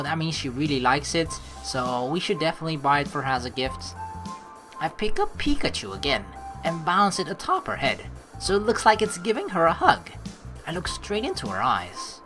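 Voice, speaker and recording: A woman's voice: low voice, a little fast. A woman hosting a let's play, rambling informally and reading the game's text aloud in a casual way. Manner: rambling, animated, informal with mild swearing. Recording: faint game music behind the voice. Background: game music.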